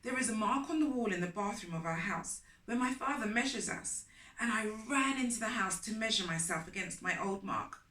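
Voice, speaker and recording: A woman reading aloud as a children's storytime host, with deliberate, emphatic, expressive phrasing. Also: mid-range voice. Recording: roomy echo, distant microphone.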